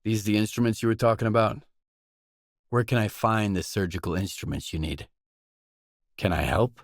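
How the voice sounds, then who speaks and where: A man's voice: fairly low pitch, fairly even intonation, moderate pace, no room sound, clean studio sound, natural voice. An actor playing a guarded, blunt male stalker, the protagonist of a post-apocalyptic video game, speaking in terse scripted lines. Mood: matter-of-fact, probing. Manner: terse, blunt, probing questions.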